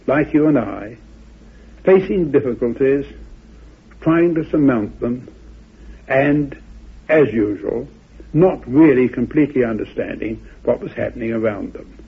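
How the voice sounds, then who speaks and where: A man giving reflective spoken commentary in a measured, deliberate way, phrase by phrase. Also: medium pitch, husky. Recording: phone-like, muffled old radio recording.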